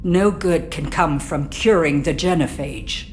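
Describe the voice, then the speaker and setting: A woman's voice: low-pitched, a little slow, slight background noise. A woman playing a haughty, bitter alien political leader with an imperious, disdainful manner in a video game. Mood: haughty, scornful. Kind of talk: cinematic video game acting. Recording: dramatic game music behind.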